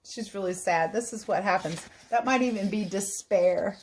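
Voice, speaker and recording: A woman teacher reading a storybook aloud to children in an expressive, animated way, pausing to explain things in simple asides. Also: mid-range voice.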